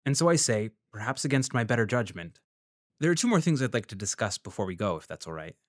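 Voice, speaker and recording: A man playing an earnest freelance journalist in a fiction podcast, measured and tentative as he interviews and narrates. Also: on the low side, very animated, no room sound, studio quality. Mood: measured, reflective. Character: earnest, tactful male freelance journalist narrating his investigation. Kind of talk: fiction podcast acting, scripted first-person narration.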